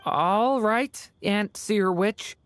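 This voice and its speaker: A woman voicing a gentle young dragon boy in a video game, polite and earnest. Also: mid-range voice, extremely slow, no room sound. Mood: curious, eager.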